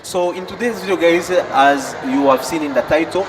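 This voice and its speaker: A man's voice: a little high, moderate pace, in a large hall, recorded from a distance, noisy background. A Congolese man with a Congolese accent hosting a travel vlog, enthusiastic and talking to his viewers. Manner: enthusiastic, addresses viewers as guys. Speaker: vlog host. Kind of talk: casual travel vlog chat.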